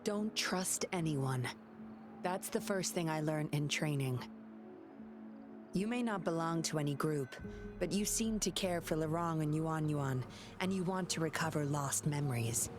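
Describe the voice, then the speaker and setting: Female game investigator, low pitch, coy, teasing, composed, confident, background music. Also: a little slow. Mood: cool, scheming. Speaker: undercover investigator character. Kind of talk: scripted video game voice acting. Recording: music under the voice.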